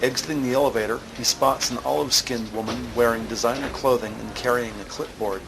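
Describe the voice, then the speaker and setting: Male narrator for crime audio drama: mid-pitched, gravelly, deliberate scene-setting with noise underneath. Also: steady tone. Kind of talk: scripted present-tense scene narration.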